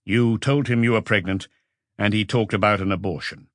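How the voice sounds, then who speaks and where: A man's voice: fairly low pitch, fairly even intonation, normal speed, no echo, clean studio sound, lightly acted. Man playing a blunt, probing police chief. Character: blunt, persistent male police chief questioning suspects. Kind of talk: audiobook dialogue.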